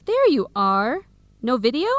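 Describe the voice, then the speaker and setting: Enthusiastic best friend, high-pitched. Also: female voice, sing-song, a little slow, over music. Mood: eager, chatty. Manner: clearly phrased scripted explanations. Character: Samira, Helen's enthusiastic American best friend, aspiring business owner. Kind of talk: English-learning radio drama acting.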